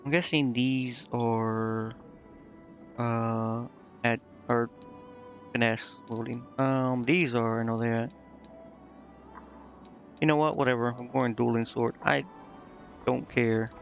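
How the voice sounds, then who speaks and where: A man's voice: medium pitch, steady tone, slow pace, vocal fry, long pauses, dull audio. A man streaming a game, muttering indecisively to himself in casual commentary as he thinks aloud. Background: game music.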